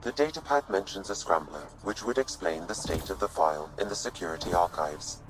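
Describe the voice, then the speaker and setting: A man voice actor playing a calm, precise artificial intelligence assistant with measured, formal speech in a video game. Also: low-pitched, over music, poor audio. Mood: calm, advisory.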